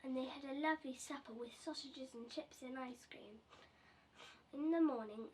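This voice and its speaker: High-voiced female storybook reader, off mic. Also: a little mellow. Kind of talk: reading a children's picture book aloud. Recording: distant mic with faint background noise.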